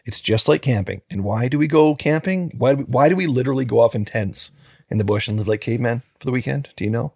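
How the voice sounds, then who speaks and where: A man co-hosting a casual true crime podcast, his voice gravelly and a little husky. He rambles and jokes through the story with plenty of fillers. The sound is close and dry. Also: mid-range voice, fast talker, no echo. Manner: relaxed, joking, rambling with fillers. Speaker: podcast co-host.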